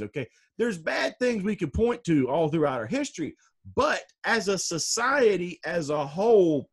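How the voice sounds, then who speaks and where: A deep-voiced man guests on a political chat and argues emphatically, checking in with "okay?". His pitch swings widely as he talks. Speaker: podcast guest.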